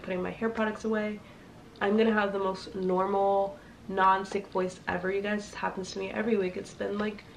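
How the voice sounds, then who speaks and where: A woman's voice: mid-range voice, vocal fry. A young woman with a congested, sick voice, chatting casually to her viewers as a fashion vlogger, rambling with lots of fillers. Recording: slightly distant mic with faint noise.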